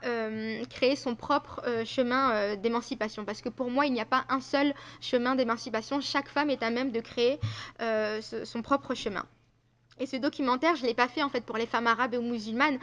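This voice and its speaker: A young woman giving a conference talk, earnest and often hesitating with fillers. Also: on the high side. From France. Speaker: invited speaker. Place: conference room.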